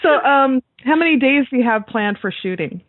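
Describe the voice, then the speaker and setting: A woman's voice: high-pitched, animated, fairly quick, dry recording. A woman co-hosting a podcast interview in casual, conversational talk. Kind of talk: casual chat. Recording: dry, thin phone-like sound.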